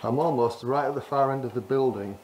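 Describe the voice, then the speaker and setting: A man's voice: mid-range voice, a little fast, darkish tone. A man giving a casual, chatty running commentary as he films a gear test indoors. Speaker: vlogger testing walkie-talkies. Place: corridors inside a residential building. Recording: clear background noise.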